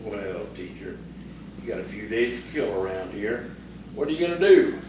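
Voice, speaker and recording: An actor playing a gruff, sarcastic frontier bully in an audio theatre piece, taunting and bossy. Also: male voice, lowish voice, big pitch swings, normal speed. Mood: needling. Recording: distant, echoey, noisy stage recording.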